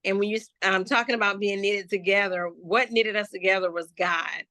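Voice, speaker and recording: A woman from Florida shares her personal testimony as an interview guest. Her voice is bright and forward, and she speaks slowly and thoughtfully, often pausing and restarting. The sound is close and dry. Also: medium pitch, steady tone, no echo. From Jacksonville, Florida. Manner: slow, reflective, with many restarts and fillers. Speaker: gospel hip-hop artist guest.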